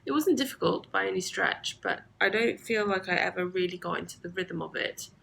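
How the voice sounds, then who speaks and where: A woman's voice: on the low side, fairly quick, gravelly, slight background noise, rough recording. A woman hosting a knitting video podcast from her home, chatting casually with lots of ums, slightly sniffly from allergies. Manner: chatty, with frequent ums and soft asides. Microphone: camera mic.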